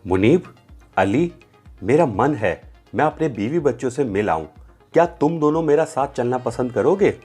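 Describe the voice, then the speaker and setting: A man as a cautious, husky storybook uncle. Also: mid-range voice, lively intonation, measured pace, darkish tone, soft background music. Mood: gently asking. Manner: explains patiently, firm warnings to children. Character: kindly, cautious adult uncle who runs a bakery. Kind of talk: children's story dialogue.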